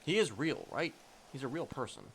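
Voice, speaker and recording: A man's voice: medium pitch, very animated, normal speed, fairly bright tone. A man improvising casual roleplay chat in a hesitant, conspiratorial, rambling way. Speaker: roleplay game player.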